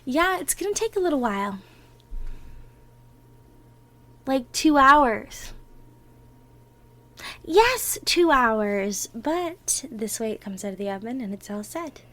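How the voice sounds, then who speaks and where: A woman playing an affectionate, excitable girlfriend in a casual ASMR roleplay, chatting encouragingly with soft murmured asides as she teaches baking. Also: high-pitched, unhurried, full character voice. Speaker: audio roleplay performer.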